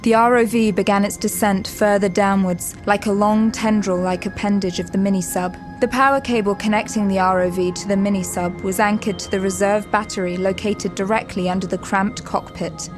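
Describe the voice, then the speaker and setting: A woman giving dramatic scripted narration for an audio drama, measured, descriptive and suspenseful. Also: medium pitch, steady tone, music in the background.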